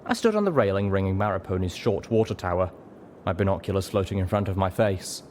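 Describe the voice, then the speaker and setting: A man with a low voice narrates a first-person story. He reads from a script as an audiobook narrator, and a faint ambient noise sits behind his voice. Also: animated, fast talker, darkish tone. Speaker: audiobook reader.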